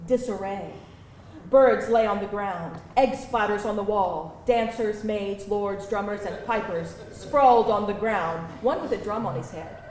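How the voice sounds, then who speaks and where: A woman narrating a screenplay at a live table read, reading the stage directions in an expressive, theatrical way. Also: mid-range voice, measured pace. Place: live event room with an audience. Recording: echoey live room, distant mic, music, audience. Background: music and audience noise.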